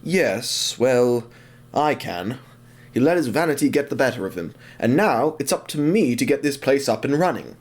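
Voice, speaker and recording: A man playing a troubled young little steam engine in an audio drama, earnest, slow and hesitant. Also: medium pitch, very animated, darkish tone. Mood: resentful.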